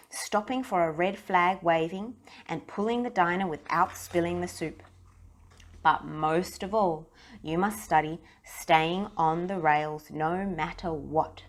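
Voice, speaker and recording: A woman's voice: fairly low pitch, a little slow, lightly acted. A woman voicing a kindly old male engineer who runs a storybook school, speaking in an encouraging, instructive way.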